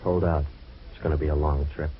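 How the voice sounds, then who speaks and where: A very low-voiced, hard-boiled male detective, terse and deadpan. He tells his case wryly in old radio drama style. The recording is dull and noisy. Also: fairly quick. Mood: cool, deadpan.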